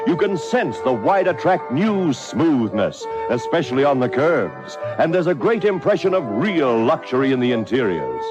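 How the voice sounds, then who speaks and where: Animated male ad announcer over music. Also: medium pitch, normal speed, hardly any pauses, rough recording. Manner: punchy, emphatic salesman delivery. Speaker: commercial announcer. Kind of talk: 1960s radio car advertisement read. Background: advertising music.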